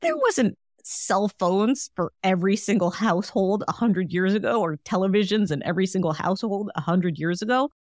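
A woman's voice: mid-range voice, expressive, normal speed, few pauses, darkish tone, dry recording, clean studio sound. A woman hosting a solo wellness podcast, talking in a chatty, encouraging way with frequent fillers. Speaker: podcast host.

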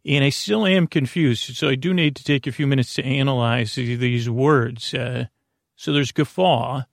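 A man hosting a sleep podcast, with a creaky, gravelly voice, rambling in a meandering, halting monologue that often stops and restarts. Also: mid-range voice, no room sound, studio quality. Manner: meandering, halting, self-deprecating.

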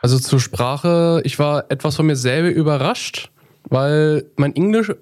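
Young man with a fairly high voice. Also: a little slow, darkish tone. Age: young adult. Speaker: apprentice. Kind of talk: interview answers.

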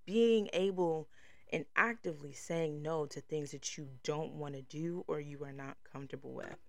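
A woman's voice: low voice, normal speed, gravelly, darkish tone, no room sound. A young woman hosting her own podcast, talking alone in a sassy, emphatic way with a voice that projects, often repeating a line for punch. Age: young adult. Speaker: podcast host. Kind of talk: solo podcast monologue.

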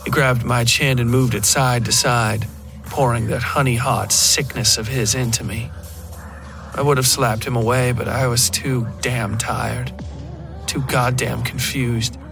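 A man's voice: mid-range voice, fairly even intonation, measured pace, gravelly, fairly bright tone, soft background music. A man reading a scripted first-person horror story as the narrator of a fiction podcast.

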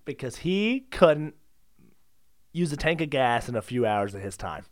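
A man's voice: medium pitch, very animated, unhurried, no room sound, studio quality. A man co-hosting a comedy podcast, chatting casually with playful, giggly banter. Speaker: podcast co-host.

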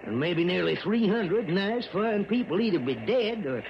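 High-pitched, folksy, worried small-town newspaperman. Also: expressive, vocal fry. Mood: folksy worry. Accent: rural American. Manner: rambling, folksy, emphatic drawn-out storytelling. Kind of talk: old-time radio drama acting. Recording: muffled old radio recording, faint noise.

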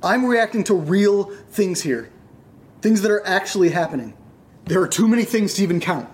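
A man's voice: high voice, animated, moderate pace, darkish tone. A man acting an exasperated, rattled young American in a naturalistic horror audio drama, emphatic and sweary. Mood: exasperated, agitated.

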